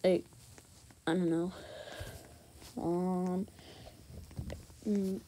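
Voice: medium pitch, level tone, drawn-out words, warm tone, a little hiss or hum. A gamer giving casual, slangy gameplay commentary and often repeating words and phrases. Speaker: gaming video host.

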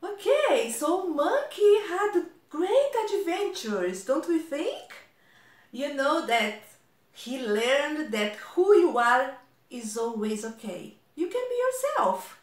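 High-pitched woman, talking to children. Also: sing-song. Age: middle-aged. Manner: deliberate, simple explaining to children. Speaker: elementary guidance counselor. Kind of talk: children's storybook read-aloud and talk to pupils. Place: indoors, small room at home. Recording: roomy echo, distant mic.

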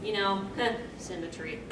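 Young woman hosting a panel, kinda slow and giggly, echoey far-off mic. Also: mid-range voice, animated. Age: young adult. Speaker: panel host. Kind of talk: casual unscripted panel talk with audience. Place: convention meeting room, on a stage. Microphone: distant camera microphone. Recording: distant, echoey, noisy camera recording.

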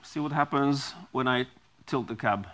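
A middle-aged man explaining and demonstrating a device he designed, speaking haltingly with many ums and restarts, in a small studio on a lapel mic. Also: mid-range voice, fairly bright tone. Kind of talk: product demonstration.

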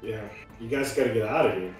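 A man's voice: mid-range voice, fast. A man chatting casually as a player in a tabletop roleplaying game. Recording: echoey room, music underneath.

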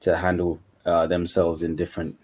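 Ugandan-born man, very low voice, loose panel-guest delivery over video call. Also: monotone, normal speed, darkish tone, slightly rough. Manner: conversational, frequent "you know" fillers. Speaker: guest mentor. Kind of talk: casual panel discussion. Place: dim room indoors.

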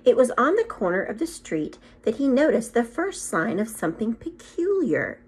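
Animated, husky-voiced woman reading aloud. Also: fairly high pitch, normal speed. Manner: animated, acts out the story, adds short asides. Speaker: second grade teacher reading aloud to pupils. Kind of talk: expressive children's storybook read-aloud. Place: small room at home, indoors. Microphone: phone or tablet propped at arm's length.

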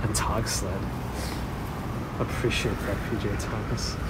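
A man's voice: on the low side, little pitch movement, measured pace. A man from Russia walking through a snowy city street, live-streaming on a phone and chatting casually with his viewers, answering their comments as he goes. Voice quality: musing, conversational, talks to himself while walking. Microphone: phone on a handheld gimbal. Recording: loud street traffic and sirens behind.

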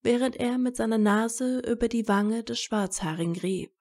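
A woman reading scripted romantic fiction as a narrator, with a measured storytelling delivery. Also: fairly high pitch, darkish tone.

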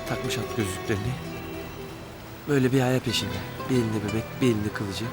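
A man's voice: fairly low pitch, steady tone, fairly quick, close to an everyday voice. A man playing a desperate young rich man. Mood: pleading. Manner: pleading, emotional outbursts. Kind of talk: TV drama acting. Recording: TV drama with music score.

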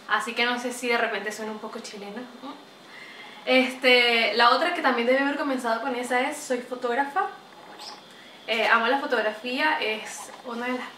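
Bright chatty young Venezuelan woman. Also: on the high side, far from the mic, slight background noise. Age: young adult. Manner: chatty, animated, gestures a lot. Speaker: vlogger. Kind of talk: casual chat to camera. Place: small bright room indoors. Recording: roomy echo, voice a bit off-mic.

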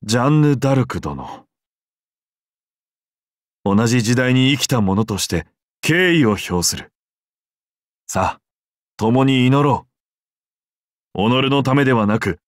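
A solemn, humble emperor from a video game. His formal, reverent speech moves widely in pitch, in a close, dry recording. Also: animated, slow, long pauses, no echo. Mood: reverent, earnest. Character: solemn, devout, courteous Byzantine emperor, humble and self-doubting. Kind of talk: scripted game character dialogue.

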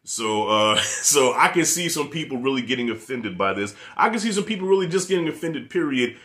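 A man in his thirties with a New York accent, giving a casual, profane, animated review rant to camera in his living room. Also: medium pitch, fairly quick. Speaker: movie reviewer.

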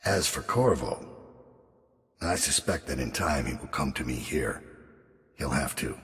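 A man playing a brooding, world-weary middle-aged assassin in a video game, with a gravelly voice and a grim, deliberate, menacing manner. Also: rumbling low, expressive, a bit bright, exaggerated voice. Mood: cold, measured. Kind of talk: video game voice acting, monologue and combat lines. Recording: long reverb, music underneath.

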